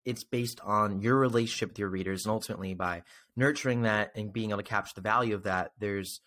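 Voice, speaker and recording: A man's voice: on the low side, steady tone, fast, a bit bright. A man in his twenties hosting a podcast in a conversational, earnest and encouraging way, close on a desk microphone. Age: 20s. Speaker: podcast host. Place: small room at home, indoors.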